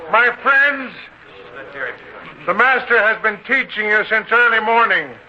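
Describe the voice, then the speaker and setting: An actor playing a devoted, pleading disciple calling out deliberately to a multitude in an old-time radio drama. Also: male voice, very high-pitched, highs cut off.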